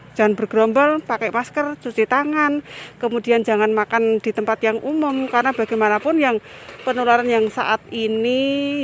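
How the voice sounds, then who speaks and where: A woman's voice: fairly high pitch, a little hiss or hum. A woman health worker answering interview questions outdoors, explaining health advice in an instructive way and listing steps one by one. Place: outdoors at a village hall.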